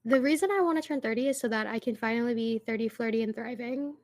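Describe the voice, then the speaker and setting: A young woman in her twenties chats loosely on a podcast, her voice sitting a little higher than most. She talks casually, full of "like" fillers, and laughs easily between thoughts. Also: fast talker, a little mellow. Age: 20s. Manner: chatty, many "like" fillers, laughs often. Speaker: podcast co-host. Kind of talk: casual chat.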